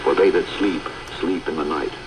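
Super deep guy reading bible on shortwave radio, really loud noise all over it. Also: normal speed. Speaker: radio preacher reading scripture. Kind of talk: scripture reading.

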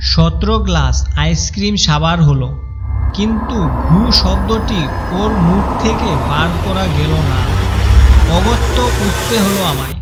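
A man narrating a cartoon ghost story in a wry, chatty storyteller manner. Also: sits high.

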